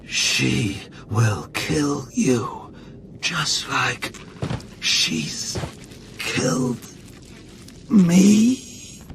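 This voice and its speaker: An actor playing a bitter, betrayed cyborg dark lord, gravelly, strained and gasping as he dies, in a video game. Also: medium pitch, sing-song, very slow, pauses often, slight background noise, full character voice. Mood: agonized, bitter.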